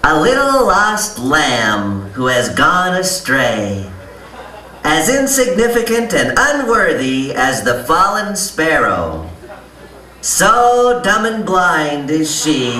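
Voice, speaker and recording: Pious squirrel puppet announcer, wildly swooping pitch, slow showy delivery, live stage, distant echoey sound. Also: male voice, pushed and bright, full character voice. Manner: mock-earnest, showy, deliberate delivery. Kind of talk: theatrical puppet-show announcing and preaching. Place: live on stage in a music venue. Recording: echoey live hall, distant, faint noise.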